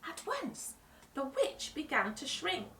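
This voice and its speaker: Woman, storybook narration, highish bright voice, very animated, roomy echo, away from mic. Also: slow pace, far from the mic, slight background noise. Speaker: storytime reader. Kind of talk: expressive children's storybook narration.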